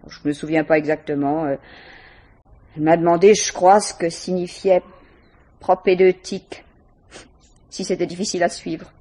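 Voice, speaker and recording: Low-voiced, aloof young woman student, played by an actress. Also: level tone, moderate pace, long pauses, mellow, lightly acted. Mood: disdainful. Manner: curt, defensive, condescending. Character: guarded, aloof, disdainful young female student. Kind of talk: 1950s French radio mystery acting.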